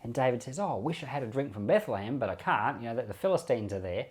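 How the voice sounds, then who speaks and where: A man's voice: medium pitch, expressive, quick pace. A man with an Australian accent and a mid-range voice talks as a pastor on a Bible podcast. He explains scripture conversationally, circling back over short phrases as he makes his point. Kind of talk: informal Bible commentary and preaching.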